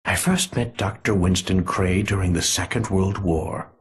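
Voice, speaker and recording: Husky, sinister male villain. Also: deep voice, exaggerated voice. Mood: smug reminiscing. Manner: measured, theatrical, savoring words. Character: sinister male conspiracy leader, coldly amused and cruel. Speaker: villain narrator. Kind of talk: video game monologue narration.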